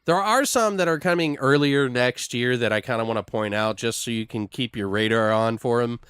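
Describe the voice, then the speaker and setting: A man co-hosting a podcast, talking casually and excitably like a fan and swearing freely. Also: mid-range voice, a little fast, clean tone, dry recording, clean studio sound. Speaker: podcast co-host. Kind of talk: casual movie chat.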